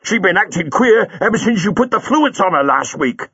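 A male narrator puts on a furious cab driver, pitched well above his own voice and shouting his demands in rough, broken grammar. The sound is dull and dry, like a close studio read. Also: big pitch swings, moderate pace, no room sound, full character voice. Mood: insistent. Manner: blunt, furious, dropped grammar. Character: angry working-class male cab driver.

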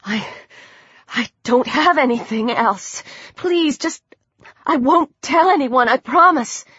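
A woman's voice: high voice, lively intonation, slow pace, a bit bright, breathy edge, no room sound, dull audio, heavily acted. A woman voicing a frightened but defiant young girl in an audiobook, stammering and pleading breathlessly.

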